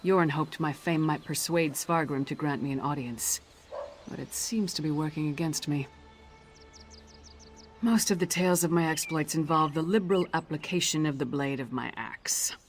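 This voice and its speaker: A woman's voice: deep voice, normal speed, lightly acted. A woman acts out a seasoned Nord warrior for a fantasy game. She is blunt, loyal and sure of herself, with a firm, dry delivery. Music plays faintly behind her. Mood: proud, resolute. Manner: firm, blunt, dryly self-assured. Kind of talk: video game character dialogue.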